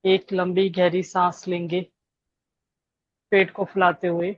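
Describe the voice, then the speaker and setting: A woman leading a guided meditation, giving gentle instructions with long pauses between phrases. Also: on the low side, flat delivery, no echo, dull audio.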